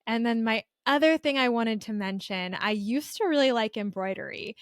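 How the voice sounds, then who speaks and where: A woman's voice: a little high, normal speed, no room sound, clean studio sound. A woman co-hosting a podcast in friendly, informal conversation. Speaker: podcast co-host.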